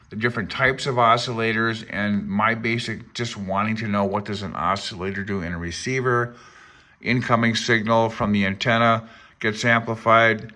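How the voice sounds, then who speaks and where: A man's voice: lowish voice, sharp tone. A man informally reading aloud from a handbook and commenting as a hobbyist presenter, self-deprecating and unsure as he thinks aloud. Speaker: amateur radio hobbyist presenter.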